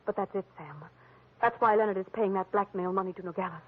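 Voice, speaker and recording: An actress playing a pleading, scheming femme fatale client in old radio drama style, breathless, halting and melodramatic. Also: female voice, mid-range voice, slightly rough, natural voice. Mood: confiding. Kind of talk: 1940s-50s radio drama acting. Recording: tinny, phone-like old radio recording.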